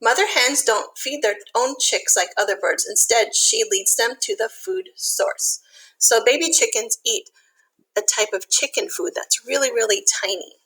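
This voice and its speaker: A woman reading a picture book aloud to young children, expressive, with simple explanatory asides. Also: on the high side, normal speed, poor audio.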